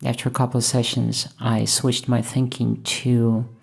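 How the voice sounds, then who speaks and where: A woman from Russia, thoughtfully talking over her painting process in a hesitant, musing way with many pauses. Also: rumbling low, little pitch movement, creaky, breathy edge. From Moscow, Russia. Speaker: painter and channel host. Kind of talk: reflective voice-over while painting.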